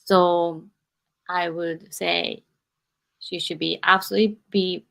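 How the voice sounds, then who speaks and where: A woman from Japan, a non-native English speaker, hosting a live podcast interview and encouraging her guest while pausing to search for words. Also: fairly low pitch, flat delivery, gravelly, a bit bright. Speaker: podcast host.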